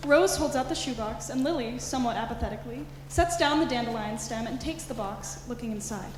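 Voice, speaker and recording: A woman reading stage directions as scripted narration, in a measured, expository way. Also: fairly high pitch, a crowd in the background. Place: high school stage. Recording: echoey hall, long reverb.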